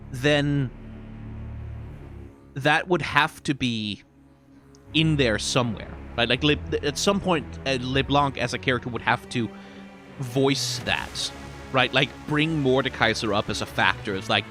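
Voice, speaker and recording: Male streamer, mid-low natural pitch, loose analytical commentary, thinking out loud, faint music underneath. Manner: rambling, thinking aloud, often checks with "right?". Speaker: streamer giving commentary on game lore. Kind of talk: casual off-the-cuff analysis.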